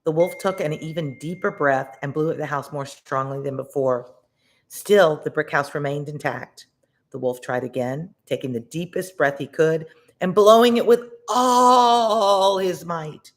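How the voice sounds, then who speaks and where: A read-along storyteller reading a children's tale expressively and deliberately, pausing to explain words simply like a tutor. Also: medium pitch.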